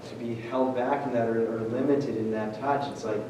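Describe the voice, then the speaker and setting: A man's voice: mid-range voice, normal speed. A man, a priest, talking casually to his parish and answering questions, full of "like" and "I mean". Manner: animated, filler-heavy, lots of "like". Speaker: priest giving a parish talk. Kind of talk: casual talk and Q&A. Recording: echoey church hall, distant mic, noisy.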